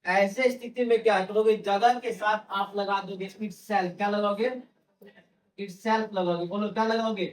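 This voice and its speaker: A man teaches a classroom lesson, asking the students the same thing again and again. His voice sits high and carries through an echoey room. He sounds a bit far from the mic. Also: steady tone, unhurried. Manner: questions the class over and over, repeats key phrases. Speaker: English teacher. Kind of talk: classroom lecture.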